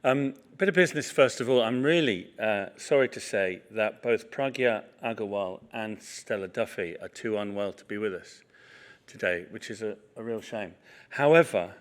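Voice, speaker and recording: A man's voice: on the low side, moderate pace. Chatty middle-aged man chairing a panel. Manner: chatty, self-deprecating, many fillers. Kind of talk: hosting a festival panel. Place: small event hall with audience.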